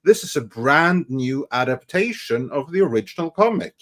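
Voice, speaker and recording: Wry, mid-pitched male host. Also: big pitch swings, moderate pace, a bit bright. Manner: wry, sarcastic. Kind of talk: casual panel discussion.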